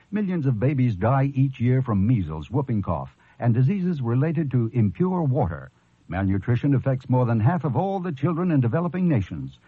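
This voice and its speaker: A man's voice: mid-range voice, slightly rough, no echo. A man reading a scripted charity public service announcement as a radio announcer. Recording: thin phone-like vintage radio, dry.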